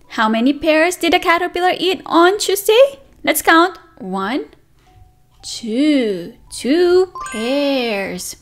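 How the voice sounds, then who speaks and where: A woman teacher reading a picture book aloud to toddlers, asking questions and counting along with them. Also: sits high. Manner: animated sing-song, asks children questions and counts along. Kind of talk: read-aloud circle time for toddlers. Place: indoors at a table, only hands and book in frame.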